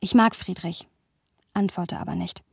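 A woman's voice: on the low side, huge pitch swings, moderate pace, creaky, slightly rough, no echo, studio quality, exaggerated voice. An actress playing a reflective young woman who narrates a radio play in literary style. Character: reflective young woman narrating her friendship in first person.

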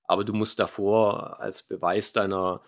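Man, low pitch, creaky, dramaturg, informal hesitant explainer. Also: flat delivery, a little slow. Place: home office video call.

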